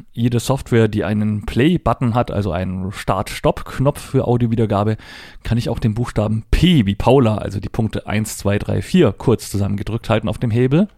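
A man's voice: on the low side, dark tone, no room sound, studio quality. A man explaining a device step by step in a matter-of-fact, conversational tutorial style. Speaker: presenter demonstrating assistive technology. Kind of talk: hands-on spoken tutorial, unscripted step-by-step explanation.

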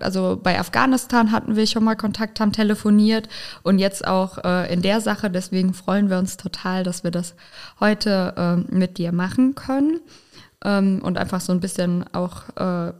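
A woman's voice: medium pitch, a little mellow. A woman hosting a political podcast, asking questions in a chatty way with many fillers. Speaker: podcast host. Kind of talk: casual interview hosting.

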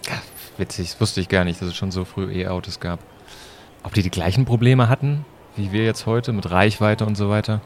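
A man's voice: on the low side, a little fast, slight background noise, close to an everyday voice. A man playing a curious, easily amazed time-traveling companion in an amateur audio drama, speaking casually and a little hesitantly. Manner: casual, questioning, slightly hesitant.

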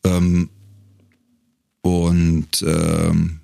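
A man speaking casually as an interview guest, a professional voice artist, who talks thoughtfully and haltingly as he searches for words. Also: low voice, monotone, gravelly, mellow, no echo, studio quality.

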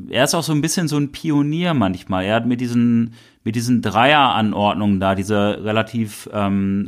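A man co-hosting a podcast, talking casually and rambling with enthusiasm. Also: on the low side. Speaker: podcast co-host.

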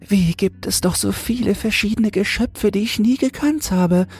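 A man plays a tiny female toad in a storybook tale, pitched very high above a natural male voice. The toad is naive and earnest, full of wishful wonder, with lilting exclamations and a husky edge, over faint music. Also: moderate pace. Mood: eager wonder. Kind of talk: fairy tale audiobook character voice.

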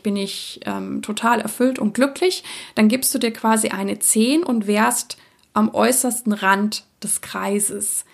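A woman hosting her own podcast, talking casually and reflectively to listeners, with many soft fillers, who grew up bilingual in a Czech-speaking family. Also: a little high, gravelly. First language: Czech-speaking parents, grew up bilingual. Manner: reflective, addresses listener directly, frequent fillers. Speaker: podcast host, soprano singer. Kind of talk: casual solo podcast monologue.

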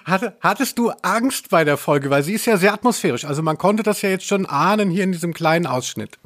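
A man's voice: high voice, expressive, fairly quick, fairly bright tone. A man hosting a podcast, chatting casually and humorously about audio dramas with a wry, teasing manner. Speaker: podcast host.

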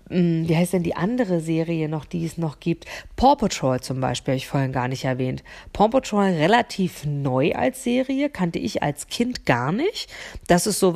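Low, creaky-voiced woman podcasting. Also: a little mellow. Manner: hesitant, searching for words, repeating words for emphasis. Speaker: podcast host. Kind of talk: unscripted solo podcast monologue addressed to the listener.

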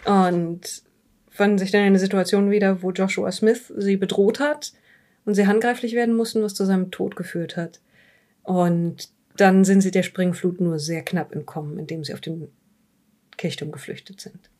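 A woman playing a composed, formal police psychological evaluator in an audio drama, speaking in measured, careful phrases and politely dismissive. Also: medium pitch, fairly even intonation, darkish tone, lightly acted. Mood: clinical, measured. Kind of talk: improvised audio drama dialogue.

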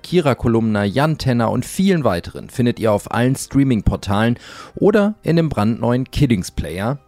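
A man's voice: mid-range voice, a little mellow, dry recording. Male announcer, dry close read, upbeat promo, faint music behind.

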